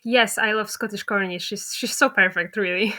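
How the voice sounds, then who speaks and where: A woman hosting a casual interview, speaking in a halting, appreciative way. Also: medium pitch, fairly even intonation, bright, forceful tone. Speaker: interviewer, host.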